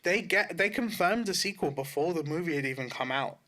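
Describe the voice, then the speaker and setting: A man's voice: on the high side, animated, a little fast, sharp tone. A man co-hosting a podcast in casual banter, blunt and sardonic, with plenty of swearing. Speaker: podcast co-host. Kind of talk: casual banter about games and films.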